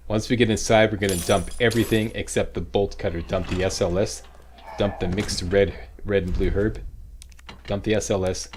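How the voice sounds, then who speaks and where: A man's voice: on the low side, fairly even intonation, moderate pace, a little mellow, a little hiss or hum. A Canadian man giving informal, instructional commentary over a video game walkthrough. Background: game sound.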